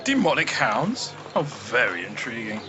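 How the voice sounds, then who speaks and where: A man acting a gentlemanly, cerebral Victorian detective with a formal, precise, courtly manner in a radio drama. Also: medium pitch, sing-song, measured pace, lo-fi sound, noisy background. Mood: proud, intrigued.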